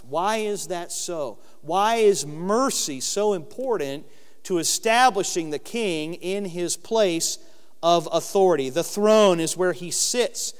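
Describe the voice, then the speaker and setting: Loud earnest man teaching scripture. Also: fairly high pitch, clean tone, in a large hall. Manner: earnest, emphatic with slow stressed phrases. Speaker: Bible teacher. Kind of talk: sermon-style Bible lesson.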